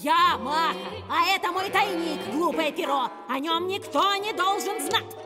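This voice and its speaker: A greedy female wolverine from a cartoon, guarding her hoard. She gloats and bosses in a high, slow, very lively voice, with music underneath. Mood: suspicious, threatening. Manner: gloating, bossy, drawn-out delivery. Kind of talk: animated series voice acting.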